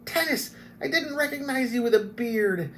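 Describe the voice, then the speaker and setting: A small, mischievous kender, as a man puts him on, squeaking high and bright with boundless excitement. His voice leaps up far above the reader's own. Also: measured pace, a little hiss or hum. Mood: excited. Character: cheerful, curious small male kender.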